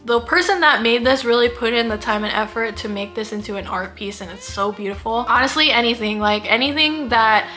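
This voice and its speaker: Bright, slightly high woman vlogger in her thirties, from the Philippines, casual to-camera chat full of fillers, soft music underneath. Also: fairly quick, hardly any pauses. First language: Kapampangan. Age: 30s. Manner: chatty, many "like" fillers, sometimes stumbles over words.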